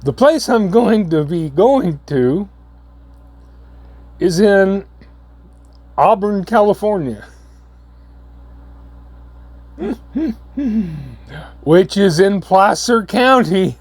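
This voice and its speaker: A hoarse man, coming down with a cold, giving a halting, earnest update to his viewers. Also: sits high, animated, no room sound. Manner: halting, repeats words, slow and earnest. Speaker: channel host. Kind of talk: casual vlog update.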